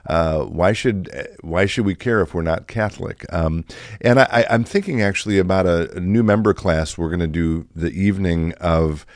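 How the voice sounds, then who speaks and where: Deep-voiced middle-aged man, slightly raspy. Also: moderate pace, dry recording, clean studio sound. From Chicago area, USA. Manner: conversational, many fillers and restarts. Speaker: pastor. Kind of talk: reflective podcast talk.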